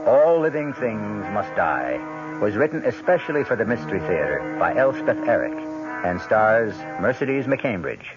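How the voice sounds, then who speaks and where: A man hosting a radio mystery show, introducing the story in a wry, dramatic storyteller's manner. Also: lowish voice. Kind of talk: theatrical scripted introduction. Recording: dull vintage radio broadcast with music.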